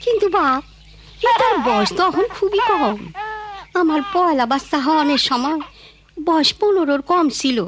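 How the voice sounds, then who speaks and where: Expressive, high-voiced old grandmother. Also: moderate pace. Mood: sorrowful. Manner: pleading, emphatic. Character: compassionate, firm old village grandmother. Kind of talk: cartoon voice acting. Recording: clear background noise, animal and bird sounds.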